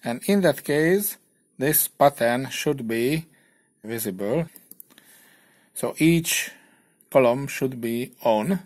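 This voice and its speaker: Hungarian-accented man in a mid register, plain and a little halting, walking viewers through a kit build. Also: medium pitch, expressive, a little mellow. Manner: plain, slightly halting non-native English. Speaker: electronics kit reviewer. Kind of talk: hobby electronics tutorial voice-over.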